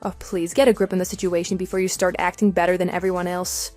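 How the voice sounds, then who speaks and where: A woman's voice: lowish voice, little pitch movement, fast talker, a little mellow, soft background music, natural voice. A woman playing a coolly sarcastic wronged wife in a radio drama, speaking in a dry, firm, matter-of-fact way. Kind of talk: scripted radio drama dialogue.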